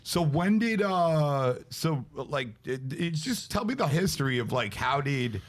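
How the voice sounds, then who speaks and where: A man's voice: on the high side, very animated, unhurried. A man hosting a podcast in a casual, slangy way, wandering through informal questions in a conversational interview. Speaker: podcast host.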